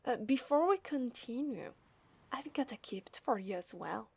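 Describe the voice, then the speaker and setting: A woman with an Italian accent voicing a coy, possessive mermaid in a soft, breathy ASMR roleplay. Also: fairly high pitch, lively intonation, a little slow, a little hiss or hum. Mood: tender, dreamy. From Italy. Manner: coy, teasing, breathy murmurs. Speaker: ASMR voice actress.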